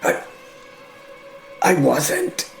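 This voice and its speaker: A man's voice: drawn-out words, soft background music. A man voicing a young medieval knight in an audio drama, wry and understated, stammering politely. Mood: pained, hesitant.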